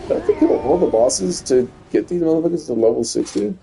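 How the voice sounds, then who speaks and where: A man's voice: a little high, animated, fast talker, gravelly, warm tone, a little hiss or hum, low-quality recording. A young man talking casually while playing a game on stream, rambling, stuttering and restarting his words, and swearing often. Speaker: gaming streamer. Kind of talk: casual gameplay commentary.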